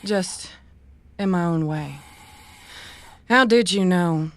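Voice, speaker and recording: Slow, coaxing cyberpunk woman, acted. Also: lowish voice. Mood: wry, gentle. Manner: soothing, measured. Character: calm, coaxing, stubbornly earnest female cyberpunk runner. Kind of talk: audio drama acting.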